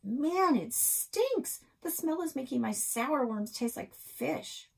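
Woman as storybook kid: boy, sulky, whiny, unimpressed, slightly husky. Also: high-pitched, animated, takes it slowly, pitched above the performer's own voice, heavily acted. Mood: disgusted.

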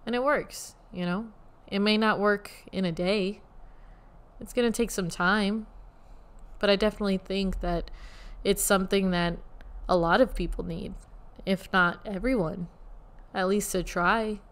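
Soothing, smooth-voiced woman podcaster. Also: mid-range voice, fairly even intonation, unhurried, long pauses. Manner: gentle, talks listeners through a short guided meditation. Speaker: podcast host. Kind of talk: casual solo podcast talk. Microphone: USB studio microphone.

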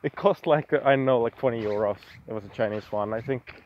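A man's voice: medium pitch, fast talker, dull audio, slight background noise. A young man from Estonia vlogging casually outdoors at night and from his car, rambling and self-deprecating, swearing now and then. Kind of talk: casual vlog chat.

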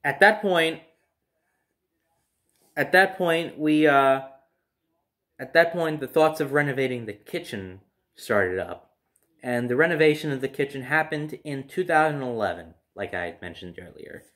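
A man's voice: medium pitch, animated, a little slow, long pauses, a bit bright. A young man telling a personal story casually to the camera. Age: young adult. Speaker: storyteller, vlogger. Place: living room at home, by a window.

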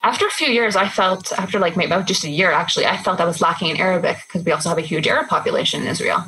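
A woman's voice: fairly low pitch, sharp tone, breathy edge, rough recording. Chatty woman nurse in her thirties. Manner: chatty, quick asides with fillers like "like". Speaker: emergency nurse guest. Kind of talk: casual interview chat.

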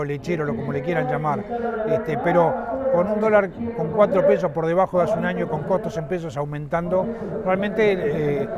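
A man's voice: a little high, dull audio. A middle-aged man with a Uruguayan accent, the president of a rural association, giving an outdoor interview with frequent fillers and restarts. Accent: Uruguayan Spanish. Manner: halting, many fillers and restarts. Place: outdoors at a livestock fairground.

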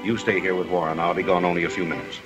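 A man's voice: low voice, flat delivery, fast talker, highs cut off, music in the background, natural voice. An actor playing a firm, reassuring male horse owner in a 1930s film.

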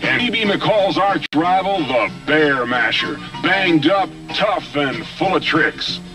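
A man's voice: on the high side, lively intonation, slow pace. An American man narrating an old TV toy commercial in a punchy, dramatic way. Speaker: commercial announcer. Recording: old TV ad, distant, music behind.

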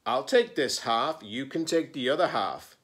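A man's voice: medium pitch, very animated, measured pace, fairly bright tone. A man voicing a stubborn, competitive schoolgirl in a storybook, with an insistent, exclaiming manner.

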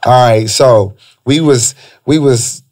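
A man's voice: medium pitch, takes it slowly, a little mellow. An American man in his thirties chatting casually as a podcast host, laid-back, teasing and slangy, close on a studio mic. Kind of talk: casual chat interview. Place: small foam-treated podcast studio. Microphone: close boom-arm studio microphone.

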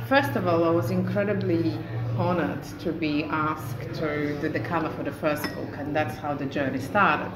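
Middle-aged woman, Polish accent, lowish voice, casual interview guest, roomy noisy venue. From Poland. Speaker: guest artist. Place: live in a taproom bar. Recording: echoey, noisy live venue recording.

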